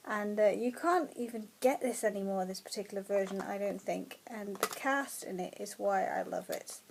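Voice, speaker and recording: A woman from the UK talks to her camera in a chatty, excited way, often breaking into laughter. Her voice sits a little high. It is casual, unscripted vlog talk. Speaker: book vlogger.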